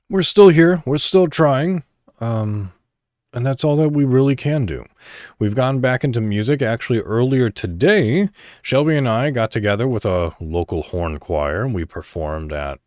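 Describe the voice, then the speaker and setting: Male American podcast host, very low voice, candid and rambling, recorded dry without room sound. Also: a little mellow, no echo. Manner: candid, rambling, thinking aloud. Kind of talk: casual solo podcast talk.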